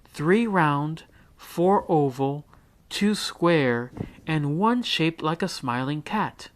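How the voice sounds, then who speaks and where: A helpful big sister from a storybook, matter-of-fact, voiced by a man. Her voice rides well above his usual register with a lively, lilting rise and fall. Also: on the high side, slow, pitched above the performer's own voice.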